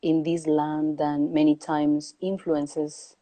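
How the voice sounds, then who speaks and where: A woman from Peru speaks in a low, warm voice that stays fairly flat in pitch. She talks slowly and reflectively, pausing often to find her words, over a video call. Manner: slow, thoughtful, with many hesitations. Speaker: guest. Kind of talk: reflective conversation. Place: home, calling in remotely.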